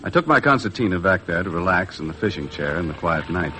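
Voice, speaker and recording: An American actor playing a tough, blunt, world-weary charter boat skipper in 1950s radio drama style, terse and hard-boiled. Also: male voice, deep voice, very animated, quick pace, over music. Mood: brooding narration. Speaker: lead actor and narrator of a radio adventure. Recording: noisy vintage 1950s radio recording.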